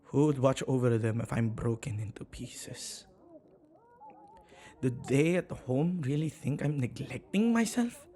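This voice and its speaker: A man playing a flustered, self-neglecting male witch in an ASMR audio roleplay, speaking intimately and haltingly to the listener. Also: mid-range voice, lively intonation, mellow, lightly acted. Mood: flustered, defensive. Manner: hesitant, halting, with sighs and murmured asides.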